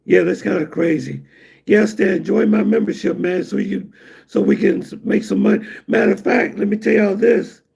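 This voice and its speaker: Middle-aged guy from the us rambling on his livestream, real gravelly and kinda high, laughs at his own jokes. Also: fairly even intonation, normal speed, a little mellow. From United States. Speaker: livestream host. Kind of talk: casual livestream chat.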